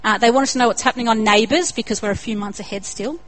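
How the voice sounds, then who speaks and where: A woman's voice: a little high, fast talker, poor audio. A young woman with an Australian accent preaching a sermon in an earnest way, building to emphatic repetition.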